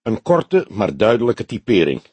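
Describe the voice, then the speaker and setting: A man voicing a calm, courteous old police detective in an audiobook, questioning formally and probingly. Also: fairly low pitch, normal speed, breathy edge, no room sound, heavily acted. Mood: calm, amused. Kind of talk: audiobook character dialogue.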